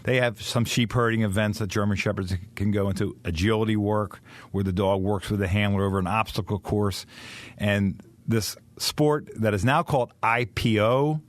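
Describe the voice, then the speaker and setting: A man with a fairly low voice explains working-dog trials in earnest, thorough detail. He is a professional trainer speaking as an interview guest. The sound is dry and close, with no room around it. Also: fairly even intonation, moderate pace, no echo. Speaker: dog trainer, kennel owner.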